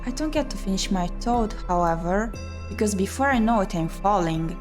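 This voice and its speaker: A woman streamer reads a visual novel aloud as dramatic narration. Her voice sits at a medium pitch and is expressive and theatrical, with music playing behind her. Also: moderate pace. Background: game music.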